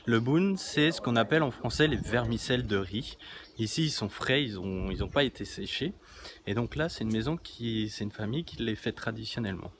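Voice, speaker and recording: A man's voice: mid-range voice, expressive, fairly quick. A man in his thirties, filming himself with a phone at arm's length outside a small village workshop, explaining things casually with lots of fillers and restarts. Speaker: travel vlogger. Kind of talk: casual explanatory vlog narration. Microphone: phone camera at arm's length. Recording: noisy outdoor phone recording.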